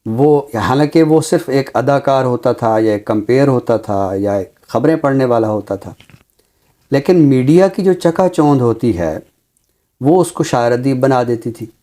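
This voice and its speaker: Male academic guest, dark mellow timbre, mid pitch, deliberate and explanatory. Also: lively intonation. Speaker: Urdu teacher, programme guest. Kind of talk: considered discussion, explanatory.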